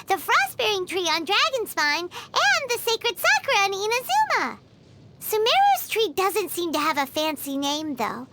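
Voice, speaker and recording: A woman voicing a tiny, chatty, childlike fairy companion in a video game, squeaky, animated and easily flustered. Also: very noisy. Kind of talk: video game character acting.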